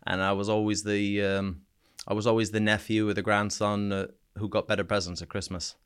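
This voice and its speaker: A man from small-town eastern Canada with a Canadian accent touched by an Irish-sounding twang, telling his story frankly and haltingly as a podcast guest. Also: on the low side, flat delivery, fairly bright tone, smooth. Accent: Canadian mixed with an Irish-sounding twang. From small town in New Brunswick, eastern Canada. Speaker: podcast guest, soldier back from war. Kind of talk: personal interview storytelling.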